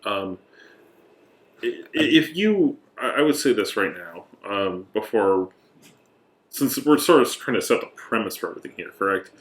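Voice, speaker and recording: A man's voice: on the low side, sing-song, moderate pace. A man co-hosting a podcast, chatting casually with a friend in a joking, sometimes mock-dramatic way. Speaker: podcast co-host. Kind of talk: casual banter between friends.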